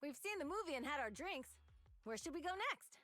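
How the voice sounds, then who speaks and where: A woman's voice: high-pitched, expressive, a little fast, sharp tone. A woman playing a brave, brash young fighter in a video game, confident and plain-spoken. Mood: upbeat. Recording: game music behind the voice.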